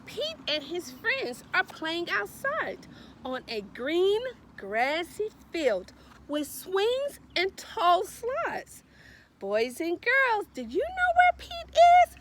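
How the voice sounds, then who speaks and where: A woman's voice: very high voice, wildly swooping pitch, slow pace, fairly bright tone, a little hiss or hum. A woman reading a children's storybook aloud in a sing-song, encouraging way, with emphatic repetition and questions to young listeners. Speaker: read-aloud storyteller, librarian.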